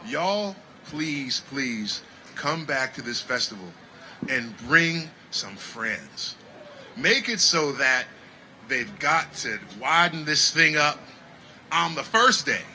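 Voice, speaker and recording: A man from Connecticut, a jazz musician talking in a casual festival interview with animated, preachy energy. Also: a little high, takes it slowly, a little hiss or hum, poor audio. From Connecticut, United States. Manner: animated, preachy, repeats "you know what I mean".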